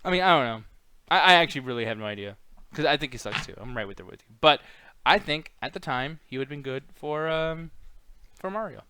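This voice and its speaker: Fast, animated man with a higher-pitched voice. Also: slight background noise. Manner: animated, profane, slangy. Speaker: podcast host. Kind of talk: casual chat, arguing about films.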